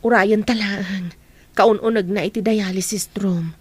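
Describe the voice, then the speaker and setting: A woman's voice: mid-range voice, steady tone, a little slow, darkish tone, husky, no echo. A middle-aged woman playing a kindly, helpful auntie in an audio drama, with an Ilocano Filipino accent.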